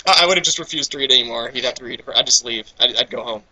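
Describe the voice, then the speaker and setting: A man's voice: mid-range voice, very fast, pushed and bright, poor audio. A young American man doing a goofy, theatrical dramatic reading and breaking off into casual asides. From Texas, United States. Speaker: reader in a comedic fanfiction reading.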